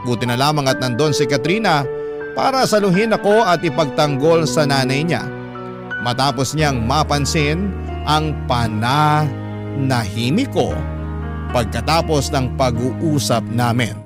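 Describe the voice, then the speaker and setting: A man's voice: medium pitch, a little slow. A man with a Filipino accent giving dramatic first-person scripted narration for a radio drama. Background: music.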